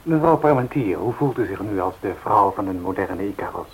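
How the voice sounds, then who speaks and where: An actor playing a pushy, glib newspaper reporter in a radio drama, asking insistent, flowery questions. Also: male voice, lowish voice, animated, fairly quick, lightly acted, rough recording. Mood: insistent, eager. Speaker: interviewer. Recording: hissy old recording.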